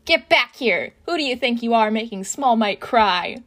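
A brash young male villain played by a woman narrator in an audiobook. He is a manic, excitable gamer who swears freely, with pitch leaping high and swinging widely. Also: a little fast. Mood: indignant.

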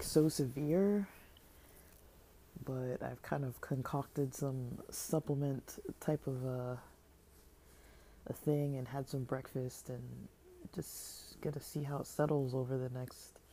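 A woman's voice: rumbling low, mellow. A woman vlogger talking in a casual, hesitant, rambling way, full of fillers and restarts, and sounding slightly stuffy and tired. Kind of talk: casual vlog monologue.

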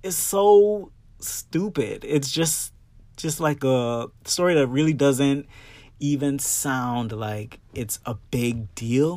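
A man from the Bahamas speaks in a close, dry recording with a medium-pitched voice. He hosts a personal podcast in a conversational, expressive way, leaning on key words and addressing listeners directly. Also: no room sound. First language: English. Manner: expressive, emphatic, checks in with listeners. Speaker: podcast host. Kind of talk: personal solo podcast talk, casual and conversational.

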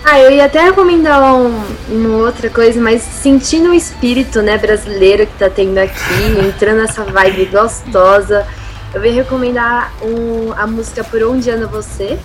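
A woman's voice: fairly high pitch, normal speed, over music, low-quality recording. A young woman with a Brazilian accent chatting casually as a podcast panelist. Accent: Brazilian Portuguese. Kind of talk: casual chat.

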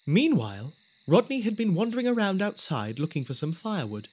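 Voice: medium pitch, very animated, brisk, a little mellow, a little hiss or hum. A narrator telling a spooky audio drama story in scripted storytelling style. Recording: music behind the voice.

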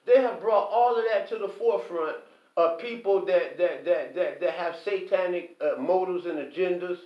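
A man delivering an impassioned, preachy monologue, emphatic and repetitive, often pausing to ask "okay?". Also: sits high. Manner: preachy, quotes scripture. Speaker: online commentator. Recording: echoey, distant, dull lo-fi.

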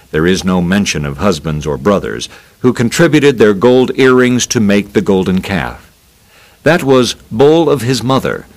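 Low-voiced man reading with lively intonation. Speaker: reader of a scholarly talk. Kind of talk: scripted narration, reading an academic essay.

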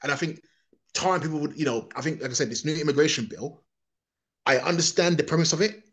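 A man's voice: on the high side, fast, a bit bright. A man from England, giving a rambling, opinionated monologue on a podcast, often restarting and repeating his words.

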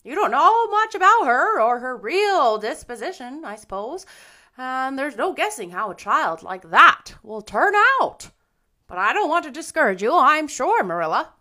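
A woman reader puts on an opinionated older village lady in a storybook, blunt and easily scandalized. The voice is high and sharp, with emphatic swoops on every judgment. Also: big pitch swings, a little slow, full character voice. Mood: gloomy.

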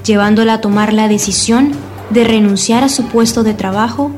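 Woman host reading the narration, kinda high voice, careful and formal, music bed behind. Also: fairly even intonation, moderate pace. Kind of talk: scripted documentary narration.

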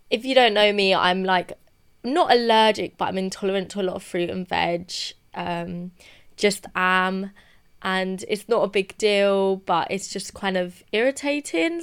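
A woman's voice: mid-range voice, fairly bright tone, clear, resonant tone. A young woman, a podcast co-host, chatting casually and telling a story with lots of "like" fillers. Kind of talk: casual chat.

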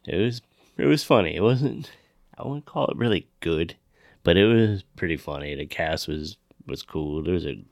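A man's voice: low-pitched, lively intonation, normal speed, vocal fry, no echo, studio quality. A man co-hosting a casual chat about films, talking informally and swearing freely. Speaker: podcast co-host.

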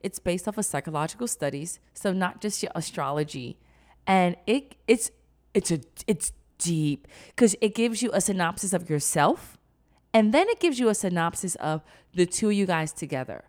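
Woman, lowish pitch, casual podcast chat, emphatic, slangy, quote-heavy storytelling. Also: lively intonation, normal speed, a little mellow. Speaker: podcast co-host.

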